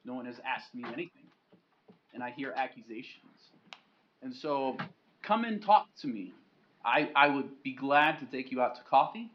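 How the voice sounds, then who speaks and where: A man's voice: medium pitch, expressive, normal speed, slight background noise. A man, a county commissioner, earnestly inviting residents to talk to him, growing emphatic. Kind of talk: official remarks at a county meeting. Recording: dull lo-fi meeting recording.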